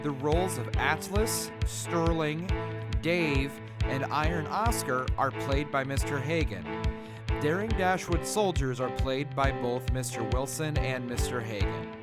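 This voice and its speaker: Man announcing credits over loud music. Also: mid-range voice, measured pace. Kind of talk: scripted narration.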